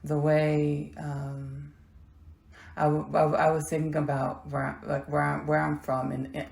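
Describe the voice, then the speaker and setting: Woman podcast host, gravelly low voice, slow meditative spiritual monologue, roomy echo. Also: level tone, a little mellow. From Texas. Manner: slow, halting, meditative delivery with long pauses.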